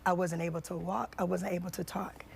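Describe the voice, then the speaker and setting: A woman's voice: fairly low pitch, little pitch movement, a little fast, gravelly, darkish tone, slightly rough. A woman giving an inspirational, conversational interview, emphatic and repeating phrases for effect. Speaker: interview guest, foundation founder.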